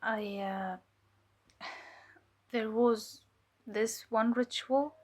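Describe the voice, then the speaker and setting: A woman playing a weary, sharp undercover cult infiltrator giving hesitant, measured testimony in an audio drama. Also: on the high side, steady tone. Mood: shaken.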